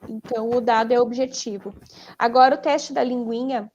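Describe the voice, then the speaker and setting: A woman's voice: a little high, steady tone, moderate pace, a little mellow, muffled recording, slight background noise. A woman with a Brazilian Portuguese accent, a speech therapist explaining conversationally as a podcast guest, didactic, posing questions and then answering them.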